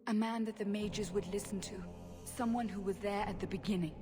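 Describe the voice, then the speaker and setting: An actress playing a stern, earnest investigator of a holy order in a video game, speaking formally, gravely and insistently. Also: female voice, mid-range voice, fairly even intonation, fairly quick, lightly acted. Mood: urgent pleading. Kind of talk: video game dramatic dialogue. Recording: long reverb, music behind.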